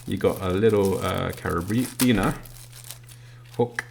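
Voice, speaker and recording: Low-voiced man reviewing, gravelly. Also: takes it slowly. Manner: hesitant, unscripted, matter-of-fact. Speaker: product reviewer. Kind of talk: casual unboxing review. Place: indoors at a tabletop workbench. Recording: noisy home recording with clicks.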